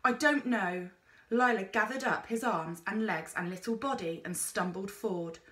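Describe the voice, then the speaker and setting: A woman reading a children's story aloud in an expressive narrating style, like a teacher. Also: mid-range voice, moderate pace, reverberant.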